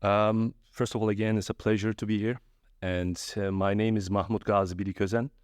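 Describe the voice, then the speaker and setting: A middle-aged man from Turkey, a guest in a podcast interview, telling stories in a friendly, animated way with frequent restarts. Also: on the low side, brisk, clean tone, no echo, clean studio sound. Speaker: guest, trade fair executive. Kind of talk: conversational interview.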